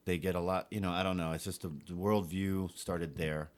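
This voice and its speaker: A man's voice: deep voice, fairly even intonation, a little fast. A man, telling his life story casually as a podcast guest. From Geneva, Switzerland and United States.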